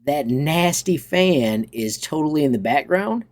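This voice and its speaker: A man hosting an informal software tutorial in a chatty, enthusiastic way. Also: fairly high pitch, animated, measured pace. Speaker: tutorial host. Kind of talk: informal software walkthrough with upbeat sign-off.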